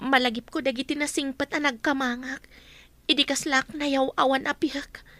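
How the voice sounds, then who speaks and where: A woman's voice: high-pitched, fairly even intonation, normal speed, sharp tone, no echo. A woman acting a wronged younger woman in an audio drama, hurt and insistent on justice. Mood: worried, subdued. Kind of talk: Ilocano radio drama acting.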